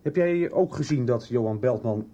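An actor playing a composed, businesslike police detective in a radio soap, speaking with measured authority. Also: male voice, medium pitch, expressive, dark tone, dull audio, lightly acted. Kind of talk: 1990s radio soap acting.

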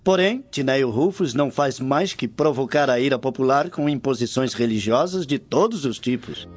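A man's voice: medium pitch, lively intonation, moderate pace, lightly acted. A man playing a compassionate, critical Roman nobleman in a radio drama, speaking in a grave, declamatory manner. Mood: grave, indignant. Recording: muffled radio drama with background music.

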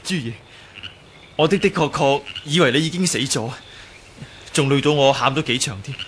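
A man's voice: mid-range voice, normal speed, lightly acted. Man acting an earnest, flustered young hero, faint noise. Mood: relieved, earnest. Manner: placating, sincere, easily flustered. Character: earnest, good-natured, flustered young male martial hero. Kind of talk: Cantonese radio drama acting.

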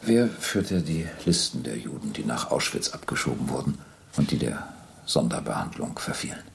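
An actor playing a stern, methodical police captain who questions a war criminal and reads out evidence formally in a German radio docudrama. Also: male voice, extremely low pitch, sing-song, normal speed, gravelly, slight background noise, heavily acted. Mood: stern, insistent. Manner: formal, probing, insistent. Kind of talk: German radio docudrama acting, reading documents aloud.

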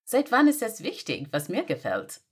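A woman's voice: fairly high pitch, wildly swooping pitch, moderate pace, husky, exaggerated voice. A woman voicing a flustered young female assistant who answers in baffled, exasperated questions. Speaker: podfic reader. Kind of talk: German audio drama reading.

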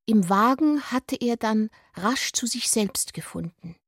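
A woman reading literary narration for a radio drama in a breathy voice that often drops near a whisper. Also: a little high, expressive, a little slow, no echo, clean studio sound.

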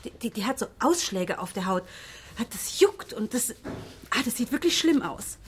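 An actress playing a brusque, impatient shop saleswoman in a radio play, with a husky voice. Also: fairly high pitch, very animated, moderate pace, a little hiss or hum. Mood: chatty, uneasy. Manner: blunt, impatient. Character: bored, brusque phone-shop saleswoman, gossipy and squeamish.

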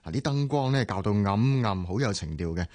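A man's voice: fairly low pitch, animated, brisk, darkish tone, close to an everyday voice. An actor in his twenties with a Hong Kong Cantonese accent playing a cheeky younger brother in a radio drama, playful and teasing. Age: 20s. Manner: playful, teasing, laughs often.

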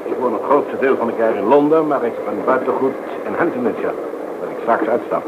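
An actor as a self-important gentleman, thin noisy recording. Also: mid-range voice, a little fast, close to an everyday voice. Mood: chatty. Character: talkative, entitled gentleman landowner on a train. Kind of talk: radio drama acting. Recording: noisy, thin, phone-like radio play recording.

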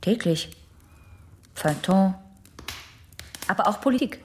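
A young woman acting in a radio drama as a proud, defensive, educated patient who speaks in halting, clipped phrases. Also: mid-range voice, animated, close to an everyday voice. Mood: guarded, curt.

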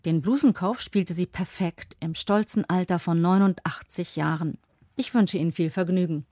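A woman's voice: lowish voice, normal speed, dark tone, no room sound. A woman radio presenter reading a scripted introduction in a studio.

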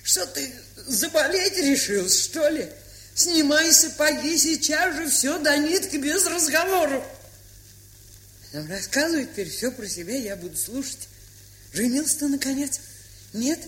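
A woman's voice: high voice, lively intonation, unhurried, fairly bright tone. An actress playing a bossy, affectionate old provincial aunt in a dramatised story, chatty and folksy in her scolding. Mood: fussing. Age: older. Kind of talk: dramatised short story, stage-style audio acting. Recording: hissy, crackly vintage 1970s record.

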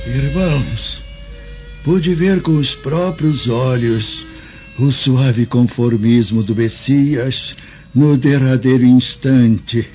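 An actor playing a pious, gentle old Samaritan man in a radio drama, speaking with solemn, reverent emotion. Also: medium pitch, fairly even intonation, takes it slowly, close to an everyday voice. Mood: moved, sorrowful. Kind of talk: radio drama acting, solemn preaching and prayer. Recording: dull radio-drama recording over music.

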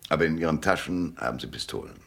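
An actor in a German radio drama playing a world-weary, cynical private detective with a laconic, knowing manner and a deep, gravelly voice. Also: male voice, fast, a bit bright, full character voice. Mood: cool, grim.

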